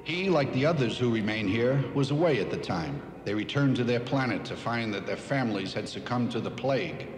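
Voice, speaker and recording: A man's voice: medium pitch, animated, brisk, few pauses, close to an everyday voice. Male actor as stern alien commander, formal cartoon acting, reverberant, faint music. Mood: grave. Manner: grave, formal.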